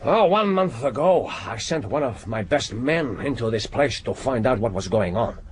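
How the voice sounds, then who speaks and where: An actor playing a commanding, wry older Japanese intelligence chief in a radio drama, with a Japanese accent and formal, deliberate phrasing. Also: male voice, mid-range voice, expressive, close to an everyday voice. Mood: grave. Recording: noisy radio drama recording.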